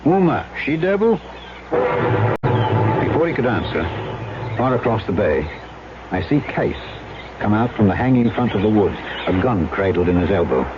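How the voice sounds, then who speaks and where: A man's voice: fairly low pitch, sing-song, unhurried, long pauses, background noise. An actor playing a plain-spoken South Seas trader who also narrates his story, in an old-time radio drama. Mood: probing. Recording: dull vintage radio recording, music behind.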